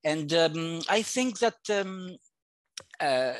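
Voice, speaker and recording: A man in his forties, a Greek native speaker, joins a video interview from a room at home through a headset mic. He keeps pausing, restarting and hunting for words, with a lot of fillers. The sound is dry and close. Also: a little high, animated, dry recording. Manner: halting, searching for words with many fillers and restarts. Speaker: guest artist. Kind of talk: casual interview conversation. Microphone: headset on video call.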